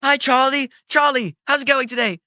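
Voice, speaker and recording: A man voicing an excitable cartoon man who squeals and drags out his words. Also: extremely high pitch, moderate pace, no room sound, pitched above the performer's own voice, exaggerated voice. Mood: giddy.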